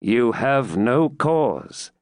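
A man's voice: medium pitch, sing-song, unhurried, no room sound, studio quality, voice pushed up. A man reading as a grave, proud Templar knight. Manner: grave, formal, archaic declamation. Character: proud, brooding male medieval Templar knight. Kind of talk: dramatised audiobook reading.

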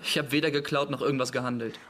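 An actor playing a defensive young small-time hustler in a radio crime drama, evasive, streetwise and protesting. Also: male voice, mid-range voice, steady tone, very fast, fairly bright tone, a little hiss or hum, close to an everyday voice. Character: defensive, cocky 25-year-old male small-time hustler. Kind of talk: naturalistic radio play acting.

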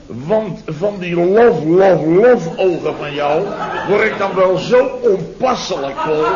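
An actor playing a blustering, sarcastic contractor boss in a radio comedy, theatrical and full of rhetorical tags. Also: male voice, a little high. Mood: scornful. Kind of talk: late-1960s Dutch radio comedy acting. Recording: muffled vintage radio recording, audience behind.

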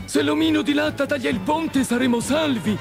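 Super high creaky scarecrow, eager and excited, storybook tale. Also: male voice, normal speed, over music. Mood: urgent. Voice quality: creaky, squeaky falsetto. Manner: excited, urging. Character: eager, clever scarecrow made of straw.

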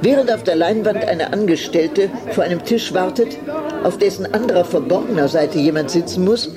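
Actress as nostalgic female cinema-goer, radio drama narration, measured and deliberate, clear music behind. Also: lowish voice, a crowd in the background, close to an everyday voice. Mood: measured narrating. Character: nostalgic woman film lover recalling nights at the cinema.

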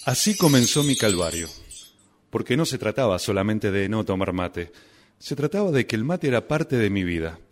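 Man playing an anguished Argentine sufferer, theatrical, wildly swinging pitch, stammering outrage, comic radio drama. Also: big pitch swings, moderate pace. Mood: rueful narration. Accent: Argentine Spanish. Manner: stammering, exasperated, melodramatic. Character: anguished, melodramatic man addicted to mate.

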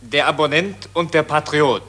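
A man narrates a radio play with scripted scene announcements. His voice is slightly high and bright, with a forceful edge. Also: normal speed, slightly rough.

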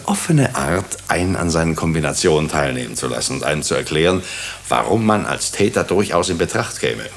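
An actor playing a wry, brooding hospital doctor who tells a murder mystery in a German radio drama, in measured, introspective, clipped phrases. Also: male voice, extremely low pitch, slight background noise, full character voice. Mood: wry, conversational. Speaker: narrator and lead character. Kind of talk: German radio drama, first-person crime narration.

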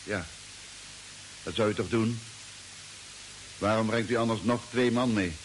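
A man's voice: lowish voice, a little slow, close to an everyday voice. An actor playing a confused, irritable but self-assured stranded spaceman in vintage radio drama style. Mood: calmly deluded. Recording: old hissy, noisy radio recording.

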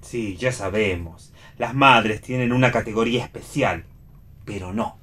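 An actor playing a wry, troubled friend in read radio theatre, with a dry, ironic delivery. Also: male voice, on the low side, a little slow, fairly bright tone.

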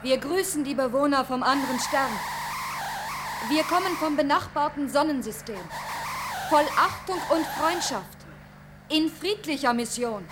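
High, anxious young woman. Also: animated, a little slow. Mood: solemn pleading. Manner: pleading, emotional. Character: anxious young woman, the starship commander's devoted daughter. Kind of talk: German science-fiction radio drama acting. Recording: very noisy old radio play recording.

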